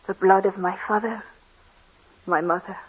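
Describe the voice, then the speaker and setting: A woman's voice: mid-range voice, steady tone, normal speed, breathy edge. An actress playing a frail grieving aristocrat girl. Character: grieving young French aristocrat woman, frail and frightened. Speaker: actress in a radio drama. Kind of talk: old-time radio drama acting. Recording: dull lo-fi old radio recording.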